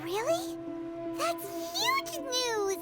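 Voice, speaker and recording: Squeaky, excitable little companion. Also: female voice, very slow, music in the background, exaggerated voice. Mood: excited. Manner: excitable, exclaiming. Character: tiny excitable childlike floating companion, chirpy and eager. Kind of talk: video game dialogue acting.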